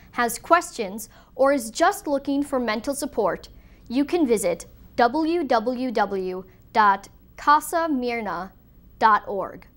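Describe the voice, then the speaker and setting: Young woman news anchor, higher voice. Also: a bit bright. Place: news studio.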